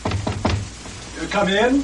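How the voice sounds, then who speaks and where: An animated hotel manager. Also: male voice, high-pitched, drawn-out words, exaggerated voice. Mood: courteous, businesslike. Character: businesslike hotel manager introducing staff. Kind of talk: vintage British radio drama acting. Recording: noisy, clicky old radio recording.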